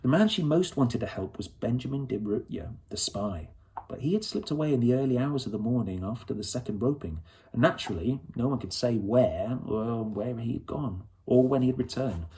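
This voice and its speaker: Mellow-voiced man narrating a story. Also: mid-range voice, animated, normal speed. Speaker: audiobook reader.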